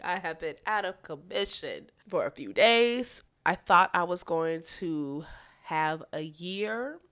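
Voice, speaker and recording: A woman's voice: lowish voice, lively intonation, slow pace, fairly bright tone. Young female podcast host, congested and nasal from a cold, sassy and emphatic, casual commentary. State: stuffy from a cold.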